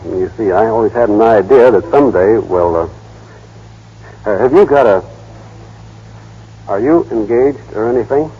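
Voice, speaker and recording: A man's voice: fairly low pitch, a little slow, pauses often, breathy edge. An actor playing a shy, naive small-town American man in a vintage radio drama, hesitant, boyish and plainspoken. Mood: shy, earnest. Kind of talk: 1930s-40s radio drama acting. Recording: dull, muffled old radio broadcast.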